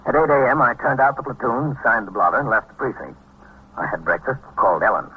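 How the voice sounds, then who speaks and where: An actor playing a steady, world-weary American police captain who narrates an old radio crime drama in a matter-of-fact, clipped manner. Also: male voice, a little fast. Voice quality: low, husky voice. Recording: dull, band-limited vintage radio recording.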